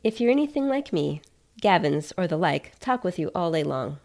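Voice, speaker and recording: A woman's voice: lowish voice, very animated, normal speed, vocal fry, a little mellow. A woman narrating a scripted first-person story as a podcaster, in a wry, conversational way.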